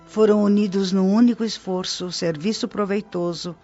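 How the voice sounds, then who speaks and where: A woman's voice: mid-range voice, steady tone, normal speed, mellow, close to an everyday voice. Actress voicing devout female spirit guide, Brazilian accent, radio drama, reverent, articulate, muffled lo-fi sound, music underneath. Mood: reverent explaining. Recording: dull lo-fi audio, clear music behind.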